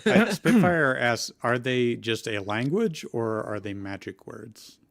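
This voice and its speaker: A man with a bright, forward voice of medium pitch hosts a casual livestream. He reads out comments and keeps the panel talk moving. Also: expressive, slow pace, vocal fry. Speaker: host reading chat comments.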